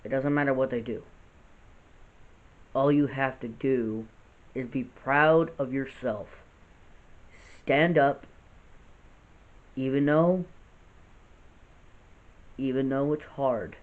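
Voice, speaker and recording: A man in his late twenties with a gravelly voice, talking earnestly and unscripted in a vlog, often restarting his sentences. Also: medium pitch, slow pace, pauses often. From United States. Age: 20s. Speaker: vlogger. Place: house with poor lighting. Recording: tinny phone-like audio.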